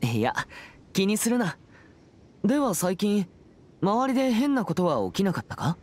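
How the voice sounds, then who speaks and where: Earnest teenage exorcist boy, expressive pitch. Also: normal speed. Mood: earnest, composed. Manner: formal, polite, reserved. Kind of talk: Japanese anime game voice acting. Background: background music.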